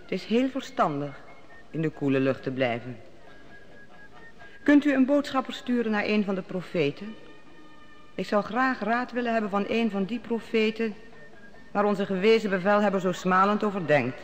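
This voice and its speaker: A woman's voice: medium pitch, animated, moderate pace, pauses often, big-room sound, faint music behind, close to an everyday voice. An actress playing a proud, commanding queen in an old radio play. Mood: regal. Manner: commanding, formal. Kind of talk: 1950s Dutch radio drama acting.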